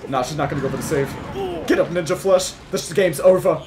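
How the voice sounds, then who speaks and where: A hoarse young man giving loud, profane commentary over his own gameplay, muttering one moment and yelling the next. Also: on the high side, a little fast, over music. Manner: swings from muttering to yelling, swears a lot. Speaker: gaming YouTuber. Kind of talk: excited live gameplay commentary.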